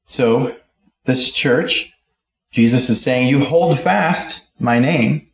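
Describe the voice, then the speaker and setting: A man's voice: mid-range voice, slow pace, vocal fry, a bit bright, reverberant. A middle-aged man preaching a sermon at a pulpit, reading scripture aloud and explaining it verse by verse. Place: church auditorium, speaking at a pulpit.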